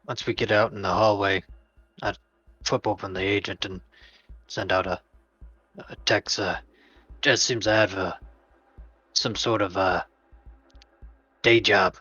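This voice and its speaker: Husky man, dull mic. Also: on the low side, fairly even intonation, pushed and bright, dry recording. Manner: halting, trailing phrases. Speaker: player. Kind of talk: casual tabletop roleplay, describing actions. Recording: dull lo-fi but dry mic.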